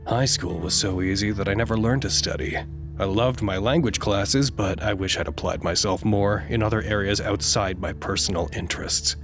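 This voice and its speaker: An actor playing a wry, lonely radio operator in an audio drama, speaking in a measured, articulate, dryly sardonic way. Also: male voice, fairly low pitch, music in the background, close to an everyday voice. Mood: wistful, wry. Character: wry, lonely, reflective male radio operator reporting to command.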